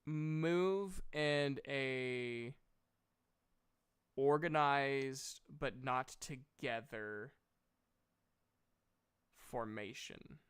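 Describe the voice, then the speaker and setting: A man running a tabletop game as game master, narrating deliberately and haltingly and chatting with players. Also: mid-range voice, a bit bright, clear, resonant tone.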